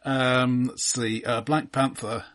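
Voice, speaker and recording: A man co-hosting a film podcast, talking casually in a measured, hesitant way with dry understatement. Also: medium pitch, flat delivery, a bit bright.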